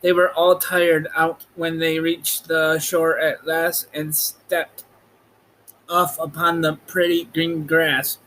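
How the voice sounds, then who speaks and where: A man's voice: on the high side, little pitch movement, takes it slowly, bright, forceful tone, rough recording. A man reading a children's storybook aloud as scripted narration. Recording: light background noise.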